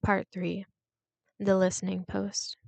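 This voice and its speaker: A woman narrating a scripted suspense story in a measured, deliberate way, with short dramatic pauses. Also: mid-range voice, monotone, creaky, no room sound.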